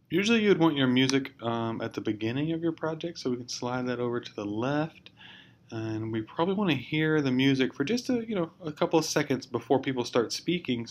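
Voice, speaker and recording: A man giving an informal, step-by-step software tutorial in a hesitant, explanatory way. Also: mid-range voice. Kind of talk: informal screencast walkthrough. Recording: clear background noise, amateur screencast.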